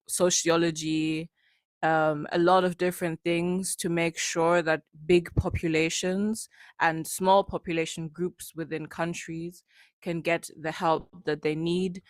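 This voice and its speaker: A woman's voice: sits low, no room sound. A young woman from Germany introducing herself at a Q&A, explaining things informally. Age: young adult. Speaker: political party member introducing herself. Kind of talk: informal spoken self-introduction at a Q&A. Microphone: handheld or passed microphone, close.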